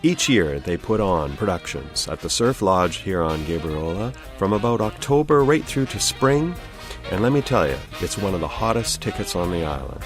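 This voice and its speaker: A man's voice: low-pitched, very animated, moderate pace. A man hosting a podcast, introducing an episode in scripted narration. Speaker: podcast host. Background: music.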